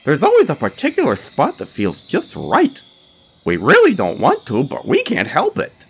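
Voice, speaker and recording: Man acting out an excitable, high-pitched pelican. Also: moderate pace, vocal fry, a little hiss or hum, exaggerated voice. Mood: earnest, pleading. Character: excitable compulsive pelican in a hard hat. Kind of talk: comic storybook character reading.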